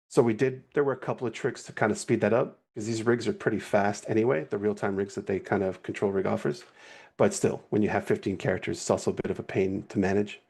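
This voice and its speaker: A man with a low, gravelly voice and a husky edge, talking in a loose, easygoing way on a live stream. He rambles a little and keeps correcting himself as he goes. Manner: relaxed, rambling, self-correcting. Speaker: guest animator. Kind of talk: casual livestream walkthrough.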